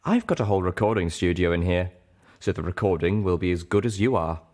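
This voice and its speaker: A man voicing a female unicorn radio DJ in an audiobook, speaking in a smooth, confiding, flirtatious manner. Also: sits low, expressive, fast talker, darkish tone. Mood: welcoming.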